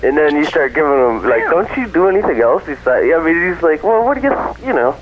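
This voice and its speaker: A man's voice: a little high, very animated, a little fast. A man calling in to a radio show, chatting casually and rambling with lots of fillers. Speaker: caller. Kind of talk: casual call-in chat. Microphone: phone line. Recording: dull lo-fi phone line with faint noise.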